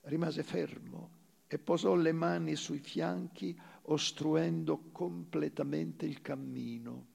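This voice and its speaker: A male actor narrates literary prose in a dramatic reading style. The voice is fairly high for a man, yet husky and full of gravel. He reads the story as a stage performer would. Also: steady tone, slow pace, darkish tone.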